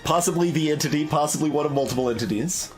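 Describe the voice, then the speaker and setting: Man musing over a game, halting. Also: fairly high pitch, over music. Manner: thinking aloud, many fillers and restarts. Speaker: let's play host. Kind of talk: casual game commentary.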